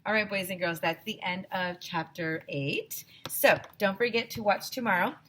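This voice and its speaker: A woman's voice: mid-range voice, normal speed, a little hiss or hum. A woman teacher reading a children's story aloud expressively and talking directly to her young listeners. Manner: animated, addresses children directly.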